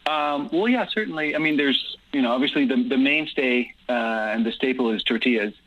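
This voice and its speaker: A man's voice: mid-range voice, normal speed, phone-quality sound. A man who grew up in Guatemala and speaks Dutch as his first language, answering interview questions in easygoing, thoughtful English with no accent and plenty of ums. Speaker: guest. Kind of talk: relaxed conversational interview answers.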